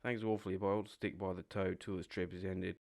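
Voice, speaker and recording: A man's voice: deep voice, little pitch movement, quick pace, vocal fry, a little mellow. A man voicing a loyal, practical water rat in a storybook reading, speaking earnestly. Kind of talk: audiobook character voice.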